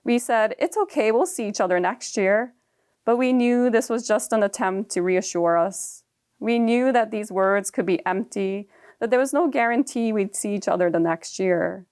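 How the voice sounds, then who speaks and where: Young woman, Japanese as first language, author reading her own prose, calm, measured, reflective, mid pitch. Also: smooth. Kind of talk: reading personal prose aloud.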